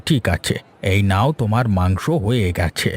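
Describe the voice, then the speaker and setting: A man's voice: on the low side, normal speed, close to an everyday voice. A man voicing a genial, chatty older village butcher in a cartoon. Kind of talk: cartoon voice acting.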